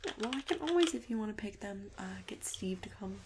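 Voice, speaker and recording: A woman doing a soft-spoken ASMR roleplay as a friendly matchmaker, often dropping into a whisper, gentle, chatty and hesitant as she reassures her client. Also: medium pitch, expressive, fast, background noise. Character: friendly female matchmaker for monsters. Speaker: ASMR host playing a matchmaker. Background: computer keyboard typing and clicks.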